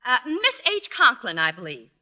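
A woman's voice: a little high, wildly swooping pitch, moderate pace, pushed and bright, lo-fi sound, exaggerated voice. A woman playing a snippy, flustered department store saleswoman in a classic radio sitcom, arch and hesitant. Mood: flustered, evasive.

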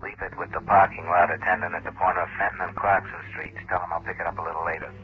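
A shady, businesslike buyer with a deep, very husky voice. He talks in formal, precise phrases in the manner of an old radio mystery. The recording is dull and muffled. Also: little pitch movement, quick pace. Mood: cool, businesslike. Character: cool, businesslike male buyer in shady smuggling deal. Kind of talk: 1940s radio mystery acting.

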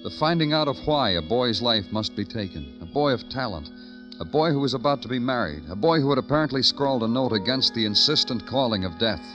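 A man's voice: mid-range voice, lively intonation, brisk, dull audio, lightly acted. A man acting a brooding, probing police lieutenant who narrates a classic radio crime drama, with clipped delivery. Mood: brooding, intense. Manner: clipped questioning, poetic brooding monologue. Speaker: lead detective and narrator. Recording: old radio drama with music behind.

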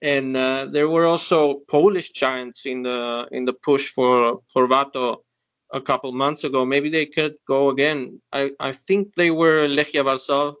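A man's voice: mid-range voice, steady tone, unhurried, a bit bright, clear, resonant tone. A young man co-hosting a casual sports podcast, easygoing and hesitant, with lots of fillers. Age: young adult. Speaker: podcast co-host. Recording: dull lo-fi audio.

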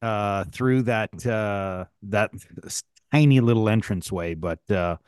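A man in his forties, a former hockey player co-hosting a podcast, telling stories with lively, halting restarts. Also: fairly low pitch, clear, resonant tone, no room sound, studio quality. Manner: animated storyteller, halting restarts, laughs. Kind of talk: casual storytelling.

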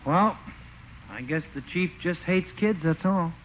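Sociable small-town wisecracker, wry and folksy, lightish high voice, old radio comedy, faint hiss. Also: male voice, expressive, unhurried. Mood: needling. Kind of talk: 1940s radio sitcom acting. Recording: muffled, noisy old radio recording.

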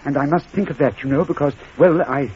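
An actor playing a shy, fussy middle-aged British schoolmaster in an old radio drama, speaking in a hesitant, stammering, formal way. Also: male voice, fairly high pitch, a little fast, a little mellow, lightly acted. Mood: nervous, hopeful. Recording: dull, faintly noisy vintage radio recording.

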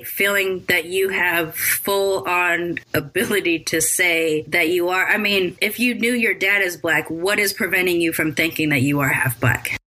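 A woman's voice: fairly low pitch, pushed and bright, rough recording. A woman hosting a podcast interview, asking thoughtful, probing questions in a conversational way. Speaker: podcast host.

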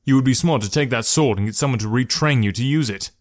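A man's voice: mid-range voice, quick pace, lightly acted. A man voicing a self-assured male archer in a story, with a commanding, curt and dry delivery. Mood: angry.

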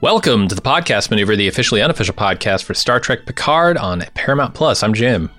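A man's voice: on the low side, expressive, quick pace, bright, forceful tone, soft background music. An American man hosting a podcast in a casual, conversational way. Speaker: podcast host.

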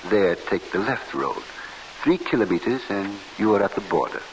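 A sly farmer talks. He is polite and unhurried, in a vintage radio play with faint hiss. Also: fairly low pitch, big pitch swings, low-quality recording. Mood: affable, sly. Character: sly, courteous French peasant farmer with a milk cart. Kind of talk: old-time radio drama acting. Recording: hissy vintage radio broadcast.

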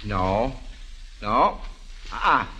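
Bright, forceful American radio quiz host. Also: male voice, lowish voice, big pitch swings, extremely slow, breathy edge, lo-fi sound. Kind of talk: 1940s radio quiz hosting.

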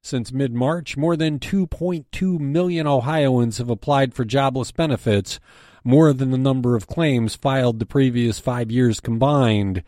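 A man's voice: mid-range voice, unhurried, mellow, no room sound, studio quality. A man with an American accent reading a scripted news briefing as its host and editor.

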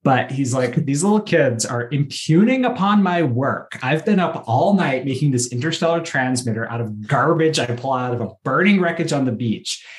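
Male podcast co-host, medium pitch, casual geeky chat, acts out bits of dialogue as he goes. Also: animated, normal speed, few pauses.

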